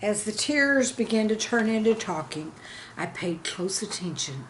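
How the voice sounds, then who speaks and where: Slow-paced woman storyteller, noisy recording. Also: mid-range voice, expressive. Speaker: storyteller and channel host. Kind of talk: scripted storytelling and poem reading.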